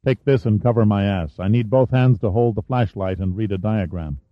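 A man's voice: on the low side, moderate pace, dry recording, lightly acted. A man voicing a cool male adventurer with an offhand, wry way of talking. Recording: dull, dry studio sound.

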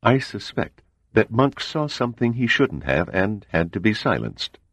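A man voicing a calm male intelligence official who speaks impassively and evasively. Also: lowish voice, a little slow, no room sound, pitched above the performer's own voice, heavily acted.

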